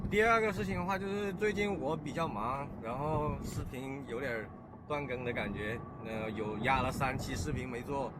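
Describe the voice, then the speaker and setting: Loud vehicle noise surrounds a man with a medium-pitched voice. He talks casually to his viewers as a vlog host, slipping English words into his sentences.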